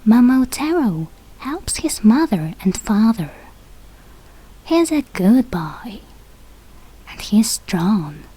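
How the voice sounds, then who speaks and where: Female storybook reader, non-native English speaker. Soft-edged husky tone, extremely unhurried, careful delivery with expressive pitch movement. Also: low-quality recording. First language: not English. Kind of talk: slow read-aloud of a children's fairy tale.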